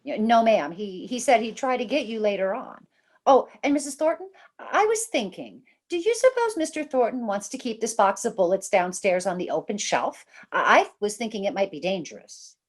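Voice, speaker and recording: A woman's voice: mid-range voice, lively intonation, normal speed, lightly acted. A woman playing an eager, deferential and slightly timid housemaid in an old-time radio drama, with a hesitant manner.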